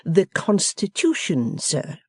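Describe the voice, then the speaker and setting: A narrator voicing a pompous English gentleman who speaks slowly and condescendingly, as if to a deaf child. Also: mid-range voice, huge pitch swings, husky, no room sound, clean studio sound, voice pushed up, heavily acted. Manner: slow, loud, over-explaining as if to a child. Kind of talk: audiobook character voice.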